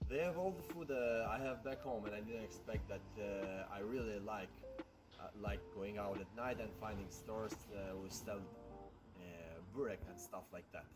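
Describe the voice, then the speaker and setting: A man from Albania, whose first language is Albanian, answers interview questions in a slightly low voice. He hesitates often and fills pauses with "uh". Music plays clearly behind him. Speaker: interviewee, IT software worker. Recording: music playing loudly behind.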